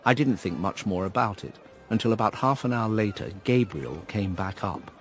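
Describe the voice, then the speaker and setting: An actor playing a brooding, wistful man who narrates a radio drama in a measured, reflective way. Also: lowish voice, animated, close to an everyday voice. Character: brooding, wistful male narrator looking back on lost love.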